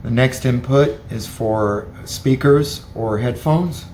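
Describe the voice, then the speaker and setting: A man's voice: mid-range voice, takes it slowly, a little mellow, a little hiss or hum. A man giving a hands-on gadget review, explaining features plainly and methodically, step by step. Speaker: product reviewer.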